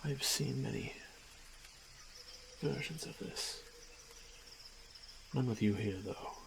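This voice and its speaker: A man voicing a stoic, protective orc warrior in a fantasy ASMR roleplay, speaking in terse, measured phrases. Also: mid-range voice, gravelly, noisy background. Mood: calm, reassuring. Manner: measured, halting, terse phrases with solemn pauses.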